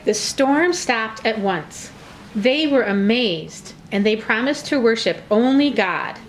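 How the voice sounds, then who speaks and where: A high-pitched woman narrates a children's story in simple, clearly phrased read-aloud style. The recording carries noticeable background noise and room ambience. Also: lively intonation, slow, rough recording. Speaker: narrator of a children's church puppet show.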